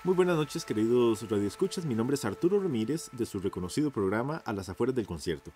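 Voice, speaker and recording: A man's voice: medium pitch, lively intonation, fast talker, dark tone, a little hiss or hum, lightly acted. A man acting in radio theatre as a courteous, enthusiastic 1950s radio journalist, with a Costa Rican accent and a formal, announcer-like manner. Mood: formal announcing. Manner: formal, effusively polite, announcer-like.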